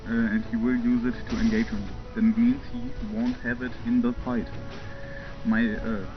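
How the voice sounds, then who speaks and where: A young German man giving casual, rambling commentary over gameplay footage, full of fillers and little chuckles. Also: mid-range voice. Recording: muffled home mic with game sounds.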